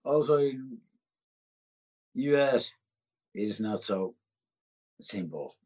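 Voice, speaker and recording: A man with a Finnish accent, a band member guesting on a podcast, speaking halting English and searching for words. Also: mid-range voice, lively intonation, a little mellow. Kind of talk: casual interview chat.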